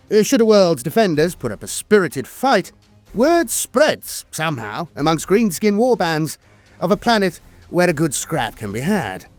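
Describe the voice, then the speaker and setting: Theatrical man narrating lore, higher-pitched, swooping intonation. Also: a little mellow. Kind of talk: scripted lore narration of a fantasy war game. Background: music.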